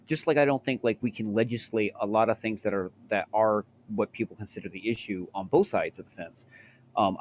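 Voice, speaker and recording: A man in his forties with a fairly low voice comes through dull, lo-fi chat audio with a faint hiss behind him. He talks in a measured, hesitant way and still makes his opinions clear. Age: 40s. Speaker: guest in voice chat. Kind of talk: casual voice chat.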